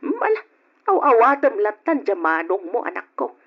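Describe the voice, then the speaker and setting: A tender middle-aged mother in an old radio drama, her voice husky and breathy, pitched a little high. She reassures her grown children gently. The recording is dull and muffled like old broadcast audio. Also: very animated, normal speed. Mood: fond.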